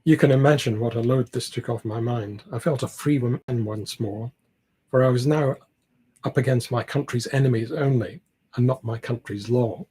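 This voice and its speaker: A man with a slightly husky voice reading a classic novel aloud, now and then stumbling over words. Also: medium pitch, moderate pace, mellow, a little hiss or hum. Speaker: amateur audiobook reader, library team member.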